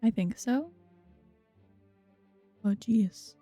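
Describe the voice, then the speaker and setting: A woman streams a game in a very dark, soft, relaxed voice. She speaks slowly in offhand, muttered reactions, with faint game music behind her. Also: fairly high pitch, dry recording. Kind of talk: casual playthrough commentary.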